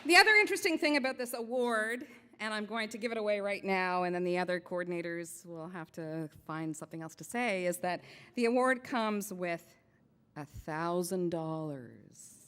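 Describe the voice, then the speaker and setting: Woman coordinator at a podium; lower voice, teasing suspense, hall reverb. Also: normal speed, sharp tone, smooth. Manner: chatty, playful, building suspense. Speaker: program coordinator presenting an award. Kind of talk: award ceremony speech. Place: event hall.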